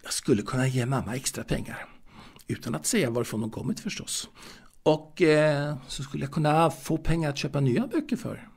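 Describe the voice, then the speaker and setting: Man acting a hesitant young sweet-seller, gravelly and husky. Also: fairly high pitch, expressive, a little mellow, heavily acted. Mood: wistful. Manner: hesitant, repeating words. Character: proud, naive young male confectionery seller reminiscing. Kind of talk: intimate audio drama monologue.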